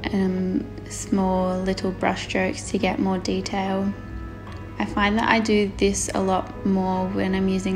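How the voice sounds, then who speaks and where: Chatty young woman with a flat voice. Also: mid-range voice. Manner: chatty, laughs often, relaxed. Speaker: artist narrating a painting video. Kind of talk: casual voice-over storytelling. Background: faint background music.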